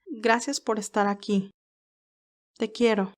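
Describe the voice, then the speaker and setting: A woman voicing a sweet, feisty young nurse in an expressive, girlish way for an audio romance story. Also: medium pitch, slow, close to an everyday voice. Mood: soft, loving. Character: Candy, sweet, feisty young nurse, easily moved and teasing.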